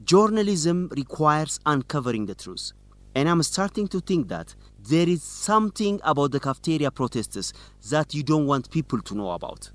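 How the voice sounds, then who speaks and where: A dogged young male student reporter in a radio drama. His voice is a bit high and very animated, with a firm, defiant edge. The recording is dry. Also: moderate pace, dry recording. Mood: challenging. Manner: firm, challenging. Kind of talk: educational radio drama acting.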